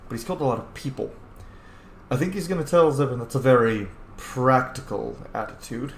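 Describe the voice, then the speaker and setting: Male gaming host, mid-pitched, informal and thoughtful, loose with swearing; roomy recording with slight background noise. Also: expressive, fairly quick, creaky. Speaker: let's play host. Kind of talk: casual roleplay game commentary.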